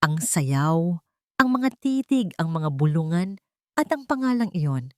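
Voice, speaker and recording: A woman's voice: fairly low pitch, very animated, unhurried, darkish tone, no room sound, clean studio sound. A woman reading a scripted romance story as a dramatic narrator.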